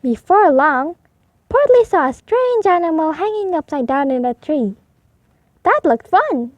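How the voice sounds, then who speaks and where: A woman reading a picture book aloud for young children, expressive and deliberate, in a slightly muffled recording. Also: very high voice, dry recording. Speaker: storybook reader.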